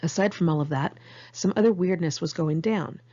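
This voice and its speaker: A woman's voice: on the low side, normal speed, dark tone. A woman narrating her own memoir in a candid, conversational way, like an amateur audiobook read in one take. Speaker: author reading own memoir. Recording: muffled lo-fi amateur recording.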